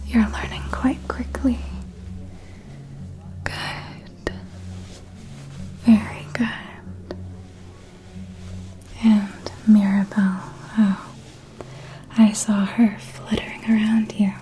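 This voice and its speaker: A woman playing an elegant, knowing vampire mentor in an ASMR roleplay, in a soft, breathy, genteel whisper. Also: mid-range voice, lightly acted. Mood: approving, intimate, then amused, gossipy. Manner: slow, deliberate, genteel, intimate. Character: elegant female vampire mentor, possessive, knowing, quietly proud.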